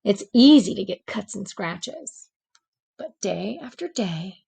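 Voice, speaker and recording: Gentle, anxious storybook egg read by a woman: slow, creaky, expressive, with dramatic pauses. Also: medium pitch, exaggerated voice. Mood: hopeful. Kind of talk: picture book read-aloud.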